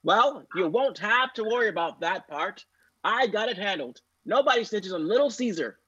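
A man's voice: high voice, lively intonation, normal speed, a bit bright, breathy edge, highs cut off, heavily acted. A man playing a taunting, boastful 1930s movie gangster boss making threats. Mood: menacing.

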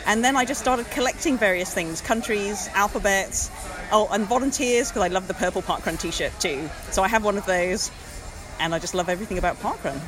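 A woman with an English accent, chatting excitedly in an outdoor interview at a park event. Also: medium pitch, a little fast, over music, low-quality recording. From England. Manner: bubbly, enthusiastic. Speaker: interviewed parkrunner.

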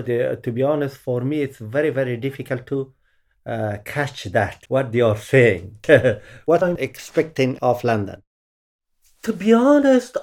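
A middle-aged man from Afghanistan speaks English with an Afghan accent. His first language is Pashto, and he searches for words, filling the gaps with "uh" and "you know". He pitches his voice in the ordinary middle range for a man. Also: darkish tone. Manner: halting, searching for words, many fillers. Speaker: interviewee, former journalist. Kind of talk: oral history interview.

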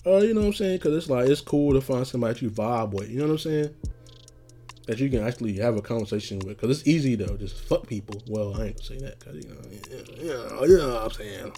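A young man hosting a casual solo podcast, rambling in a laid-back, slangy way with many fillers, his voice going raspy. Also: medium pitch, expressive, quick pace, mellow. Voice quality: getting raspy. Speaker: podcast host.

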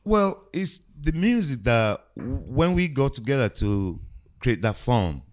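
A man in his sixties from Nigeria, a guest musician talking slowly and reflectively with many pauses and fillers in a casual podcast interview. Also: medium pitch, very animated. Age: 60s.

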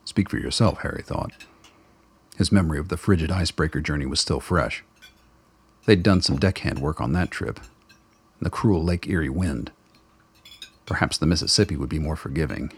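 A male narrator telling a radio drama steadily in close third person. His voice is deep and husky. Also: moderate pace, pauses often. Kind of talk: scripted narration.

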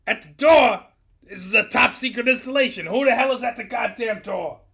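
A man plays a grumpy, profane boss in a comic audio story. He is gruff and irritable, bellowing in a raised, loud voice as if everything is a nuisance. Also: very high voice, moderate pace, pitched above the performer's own voice, full character voice. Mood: irritated shouting. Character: grumpy, profane, bellowing male power station supervisor.

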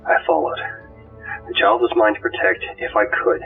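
A man plays a grave ghost guardian telling war stories in a low voice. The delivery is solemn and deliberate, like a dramatic audiobook. The sound is thin and phone-like, with music underneath. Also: lively intonation, vocal fry. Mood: tense, sorrowful. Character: grave ghost guardian recounting violent battles and survival. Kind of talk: dramatic scripted narration. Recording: tinny, band-limited audio under music.

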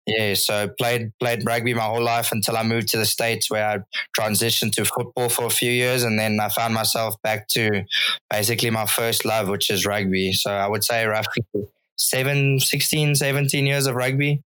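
A young man, a rugby player speaking as a guest in a relaxed, friendly way. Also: on the low side, normal speed, clean tone, no echo, poor audio. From South Africa. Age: young adult. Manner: relaxed and friendly, with many fillers. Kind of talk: casual interview.

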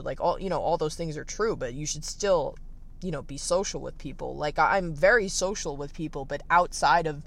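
A teenage man with a raspy, gravelly voice that sits a little high for his age. He talks candidly and reflectively, circling back with fillers and restarts. It sounds like a casual phone livestream. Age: late teens. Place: at the beach.

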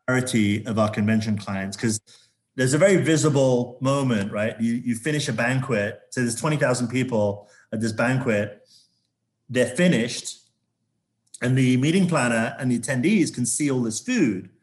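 A man from Zambia, a corporate sustainability executive, talking as an interview guest with a halting delivery full of restarts. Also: mid-range voice. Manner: halting, many restarts and fillers.

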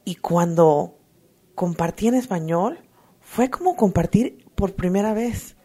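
A woman giving an emotional, earnest personal testimony at a recovery meeting, halting often to restart her sentences. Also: lowish voice, animated, warm tone, breathy edge. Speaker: twelve-step fellowship member sharing.

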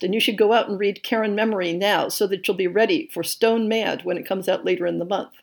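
A woman hosting a podcast, reading a scripted monthly update in a measured way. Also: mid-range voice, creaky, a little mellow, slightly rough. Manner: measured, reads lists of titles and blurbs. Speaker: podcast host.

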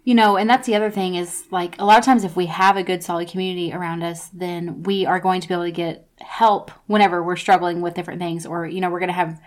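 A woman's voice: lowish voice, steady tone, fast talker, few pauses. A woman in her thirties hosting a podcast, talking earnestly and thinking aloud with fillers. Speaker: podcast host. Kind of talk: conversational podcast talk.

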